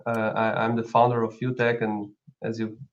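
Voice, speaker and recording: A man's voice: on the low side, flat delivery, normal speed, dull audio, a little hiss or hum. A man from Brazil with a Brazilian accent, speaking as a company founder co-hosting an informal live product talk.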